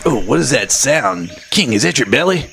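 Man plays obliging, joking entourage buddy, gravelly voice, improvised comedy scene with noisy cricket ambience. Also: mid-range voice, big pitch swings, moderate pace, fairly bright tone. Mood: wisecracking. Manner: casual, joking. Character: rock star's wisecracking, obliging buddy. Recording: noisy, insect ambience behind.

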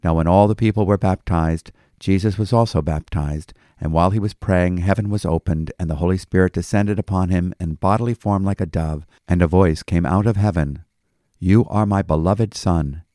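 Deep, mellow-voiced male pastor. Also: normal speed, no room sound, studio quality. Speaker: pastor and host. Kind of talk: devotional Bible commentary with scripture reading. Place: small office studio.

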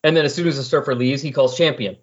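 A man with a husky voice chatting casually on a podcast, explaining and recapping in a rambling way. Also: mid-range voice, quick pace. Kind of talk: casual comic book discussion. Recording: dull lo-fi voice recording.

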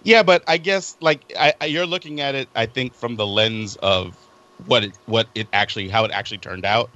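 A man in a casual group chat who argues his point step by step, in a halting way. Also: mid-range voice, sharp tone. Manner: halting, lays out arguments step by step. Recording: dull lo-fi recording.

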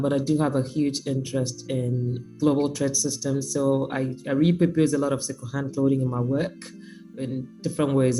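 Woman on the phone, mellow dark voice, faint music under. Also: rumbling low, rough recording. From Nigeria. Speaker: interview guest, artist. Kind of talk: phone interview.

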